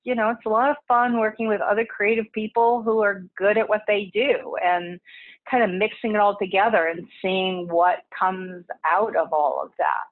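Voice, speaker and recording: A woman's voice: medium pitch, steady tone, normal speed. A woman from Texas, a podcast guest who founded an institute, talking casually and chattily over the phone. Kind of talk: casual interview talk. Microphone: phone line. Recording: tinny phone line.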